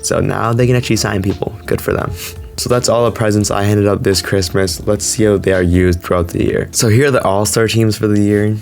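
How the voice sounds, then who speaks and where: A man's voice: on the low side, fairly even intonation, fairly quick, vocal fry, darkish tone. A man who is slightly sick, hosting a gaming video with casual, chatty commentary on a basketball game. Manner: informal, chatty. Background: background music.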